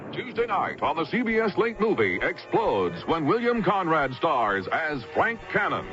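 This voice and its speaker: A man announcing a television promo in a punchy, dramatic voice-over style. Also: fairly high pitch. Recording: muffled old radio, music behind.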